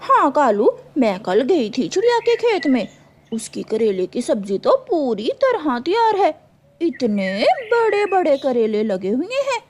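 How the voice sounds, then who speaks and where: A brazen, scheming she-crow from a kids' cartoon, put on by a woman. Her voice is very high and swings widely in pitch, with a pushy, defiant edge. The recording has faint noise. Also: wildly swooping pitch, a little slow, darkish tone, exaggerated voice. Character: sly, brazen female crow, scheming wife. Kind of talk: children's cartoon voice acting.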